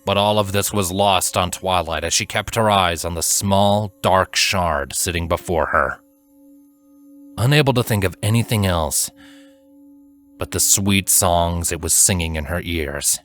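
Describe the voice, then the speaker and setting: A man narrating a dramatic fantasy story from a script in a measured, solemn storytelling manner. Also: deep voice, fairly bright tone. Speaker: audiobook narrator.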